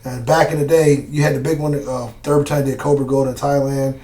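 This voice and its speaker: A man telling stories in a casual interview, relaxed and slangy, with plenty of fillers and asides. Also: mid-range voice, brisk, distant mic. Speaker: former Green Beret guest. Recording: roomy sound from off mic.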